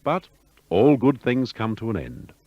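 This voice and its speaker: A man giving scripted documentary narration in a smooth, measured broadcaster's delivery. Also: medium pitch, very animated, no room sound. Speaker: narrator of a radio history. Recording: dull lo-fi broadcast audio.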